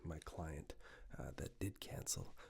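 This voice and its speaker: Low-pitched man, soft ASMR whisper, gravelly edge, unhurried reassuring tailor roleplay. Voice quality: soft, breathy whisper mixed with low creaky murmur. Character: attentive male tailor, gently flattering and chatty. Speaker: ASMR performer playing a tailor. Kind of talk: soft-spoken ASMR personal-attention roleplay.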